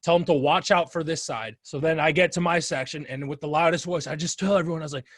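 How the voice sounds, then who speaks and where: Animated young male podcast host. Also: a little high, fast talker, dry recording, studio quality. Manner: animated storyteller, acts out his own loud calls. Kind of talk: casual podcast conversation.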